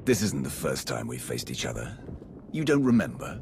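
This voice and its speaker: A ruthless dark warrior in a video game, with an extremely low, gravelly voice. He is blunt and contemptuous, his pitch moving freely, with clear noise behind him. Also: wildly swooping pitch, normal speed, heavily acted. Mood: scornful, defiant. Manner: blunt, threatening, scornful. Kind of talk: scripted video game acting.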